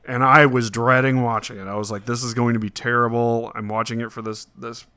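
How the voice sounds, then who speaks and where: A man with an average-pitched voice talks casually as a podcast host. He phrases things haltingly, circling back over his words. The sound is dry, with very little room in it. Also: flat delivery, bright, forceful tone, smooth, no room sound. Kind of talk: casual movie discussion podcast.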